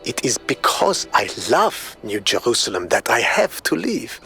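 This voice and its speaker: A man playing a grave, philosophical robot explorer who scorns nature, delivering a measured, eloquent game audio log monologue. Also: mid-range voice, very animated, gravelly, sharp tone, faint music behind, exaggerated voice. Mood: reflective.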